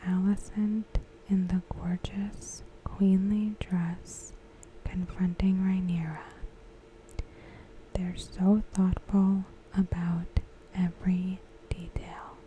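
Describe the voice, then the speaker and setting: A woman whispering softly and breathily in an ASMR style, giving commentary with gentle, deliberate phrasing. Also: fairly low pitch, little pitch movement, slight background noise, rough recording. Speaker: ASMR creator.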